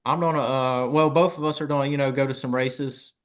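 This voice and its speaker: A man's voice: mid-range voice, steady tone, fairly quick. A man of twenty chatting informally as an interviewer and co-host, often saying "you know". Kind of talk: casual interview chat.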